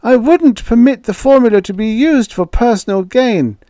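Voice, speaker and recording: A man voicing a frail, frightened old inventor who pleads in a high, quavering voice. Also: animated, moderate pace, warm tone, no echo, clean studio sound. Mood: fearful pleading.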